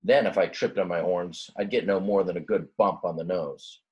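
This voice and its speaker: A man's voice: deep voice, steady tone, normal speed, a little mellow, highs cut off, close to an everyday voice. A man voicing a glum young bighorn ram in a storybook, self-pitying yet determined, in a grumbling, sighing manner. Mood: pondering.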